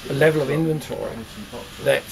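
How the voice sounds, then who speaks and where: A man's voice: a little high, little pitch movement, brisk, vocal fry, a loud crowd, low-quality recording. Older man talking in a busy warehouse. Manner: rambling, restarts, hands gesturing while talking. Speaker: audio company founder. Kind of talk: factory tour talk. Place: large warehouse with shelving, indoors. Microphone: camera mic.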